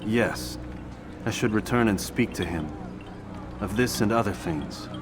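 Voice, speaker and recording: Man acting grave, low-voiced brooding assassin. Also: music in the background, lightly acted. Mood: guarded. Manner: grave, formal, measured diction. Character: brooding young male assassin, doubtful and determined. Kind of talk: video game cutscene acting.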